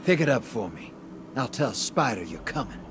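An actor voicing a shady, streetwise rogue and survivor in a video game, with slangy, conspiratorial swagger. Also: male voice, mid-range voice, big pitch swings, moderate pace, background noise, natural voice. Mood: sly, persuasive. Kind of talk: video game character dialogue.

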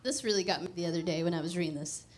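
A woman's voice: fairly low pitch, very fast. A woman, preaching a conversational, emphatic sermon into a handheld mic on a church stage. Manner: conversational, emphatic, drops to a hushed prayer.

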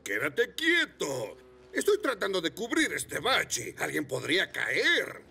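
A burly cartoon construction trike dad, gruff but amiable. His voice is bright and forceful, with huge swings in intonation over music. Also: on the high side, wildly swooping pitch, takes it slowly, slight background noise, full character voice. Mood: earnest. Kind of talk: animated children's dub.

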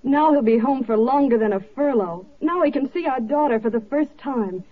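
An actress playing a relieved, tender soldier's wife in a 1940s radio drama. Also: female voice, high-pitched, animated, moderate pace. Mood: tender relief. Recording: muffled 1940s radio recording.

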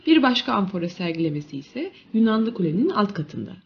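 Female documentary narrator for museum tour script: breathy-rough tone, varied melodic delivery. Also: mid-range voice, big pitch swings, a little fast, dull audio.

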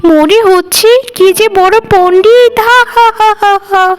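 A woman's voice: extremely high pitch, fairly even intonation, unhurried. A woman voicing a mocking, gossipy young village housewife in a cartoon, taunting in a sing-song way. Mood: sneering. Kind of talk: cartoon voice acting.

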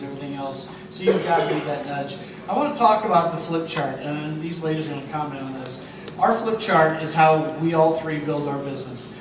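A middle-aged man coaches a convention crowd in a confident, persuasive way, his voice a touch higher than most men's. It comes from far back in a big, booming hall with steady noise underneath. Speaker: panel host at a sales convention. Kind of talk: panel discussion. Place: large convention hall stage. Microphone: handheld mic. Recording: echoey hall, recorded from far off, noisy.

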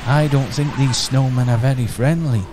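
A man playing a calm, eccentric male alien time-traveler in a radio play, talking in a musing, whimsical way. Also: medium pitch, normal speed, lightly acted. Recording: radio play with clear background noise.

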